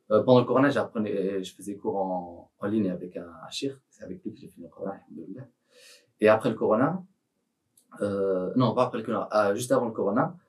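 A young teenage boy, a podcast guest, talking hesitantly with many restarts and fillers. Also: fairly low pitch. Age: young teen. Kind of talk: casual podcast interview. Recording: voice from a distance.

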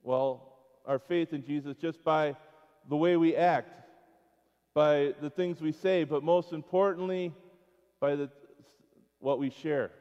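A middle-aged American man giving an informal children's sermon in a church, halting between short phrases and putting questions to his listeners. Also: a little high, fairly even intonation. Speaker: school chapel speaker. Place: church sanctuary, speaking before schoolchildren. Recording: dull, echoing church hall pickup.

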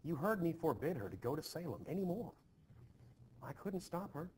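A man voicing an anxious, dutiful Puritan farm wife in a play reading, measured and quietly reproachful. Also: fairly high pitch, sing-song, pitched above the performer's own voice. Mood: reserved. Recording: noticeably hissy home screen recording.

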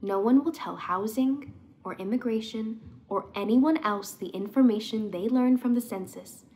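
A woman's voice: fairly high pitch, moderate pace, a little mellow, close to an everyday voice. A woman voicing a thoughtful little girl who explains her home life earnestly, as in a children's storybook. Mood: earnest, reassuring. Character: thoughtful little girl living in crowded apartment.

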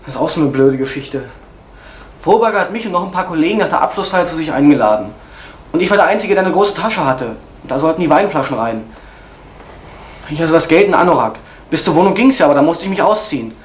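A man's voice: a little high, slight background noise, natural voice. An actor playing a troubled young man who talks in an agitated, rebellious way in an old radio drama. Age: young adult. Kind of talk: 1970s-80s radio drama acting.

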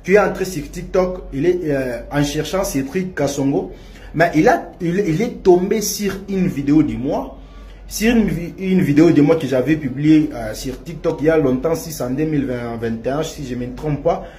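Halting Congolese man, higher voice. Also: mellow. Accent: Congolese French. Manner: halting and repetitive, many restarts and fillers. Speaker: man clearing his name. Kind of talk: video statement.

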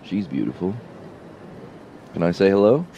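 An actor playing an insinuating, falsely friendly space-station policeman, drawling and halting as he needles, in an audio drama. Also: deep voice, sing-song, dull audio, background noise.

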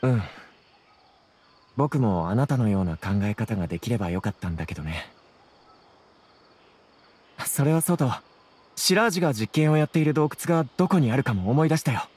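A man's voice: medium pitch, expressive, fairly quick, pauses often, darkish tone. A man playing an earnest, troubled young scholar with polite speech in a Japanese game. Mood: earnest, apologetic. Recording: clear background noise, insect ambience.

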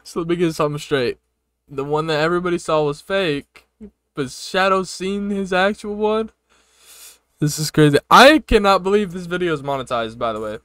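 A man's voice: a little high, normal speed, a bit bright, smooth, no echo. A man in his twenties giving casual, slangy reaction commentary in amused disbelief, close on a desk microphone. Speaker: reaction streamer. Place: small bedroom setup indoors. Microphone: close desk microphone with headset.

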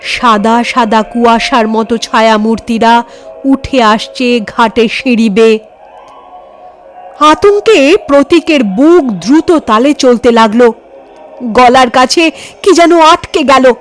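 A woman narrating a horror story in an expressive, suspenseful, scripted storytelling style. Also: a little high, slow pace, faint music behind.

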